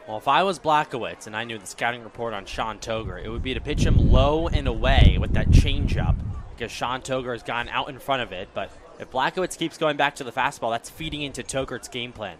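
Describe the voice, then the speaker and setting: A man's voice: medium pitch, animated, a little fast. A man giving live baseball analysis as a color commentator. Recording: faint outdoor ballpark noise.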